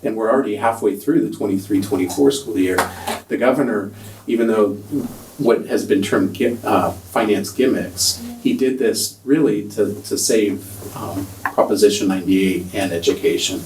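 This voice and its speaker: Man, soft voice, lowish pitch, board meeting presentation, heard from afar in a big room. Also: moderate pace. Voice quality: soft-spoken. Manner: hesitant, many ums. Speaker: school district finance presenter. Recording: echoey room, distant mic, faint noise.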